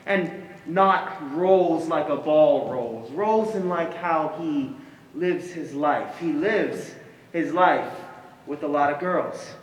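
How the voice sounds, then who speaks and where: A man playing an earnest, confident young guy who repeats himself deliberately in a comic stage monologue. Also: a little high, recorded from a distance. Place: stage in a hall. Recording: echoey hall, faint noise.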